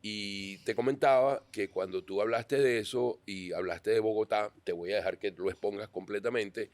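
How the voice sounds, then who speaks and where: Low-voiced man hosting. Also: steady tone, normal speed, a little mellow, no room sound. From Venezuela. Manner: earnest, hesitant restarts. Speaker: podcast host. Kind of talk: interview questions.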